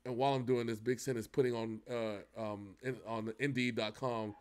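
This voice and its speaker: A man hosting a music reaction show, giving casual, excited, jokey commentary that rambles and repeats phrases. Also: medium pitch, moderate pace.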